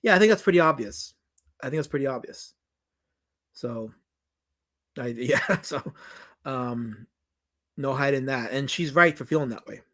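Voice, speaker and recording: A man's voice: mid-range voice, expressive, measured pace, long pauses, a bit bright. A man with a New York accent hosting a livestream Q&A, rambling and emphatic as he answers viewers' questions. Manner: rambling and emphatic, with many restarts and repetitions. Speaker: documentary director hosting a livestream Q&A. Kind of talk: casual livestream chat, reading viewer comments.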